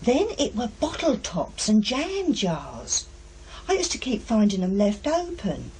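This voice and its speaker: Harried English working-class mother for radio drama: blunt, nagging, very animated delivery, faint background noise. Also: fairly high pitch, measured pace. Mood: chatty recounting. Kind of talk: naturalistic radio drama acting.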